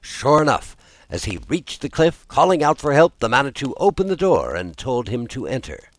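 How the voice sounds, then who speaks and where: Male storyteller for scripted folk tale narration, expressive, wide-ranging intonation, dry sound. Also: mid-range voice, moderate pace, no room sound.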